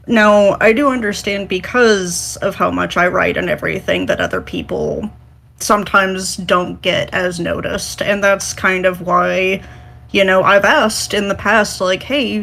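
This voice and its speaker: A woman's voice: lowish voice, creaky, a bit bright. Self-deprecating woman chatting on a podcast. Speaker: panelist, script writer. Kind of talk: casual podcast discussion.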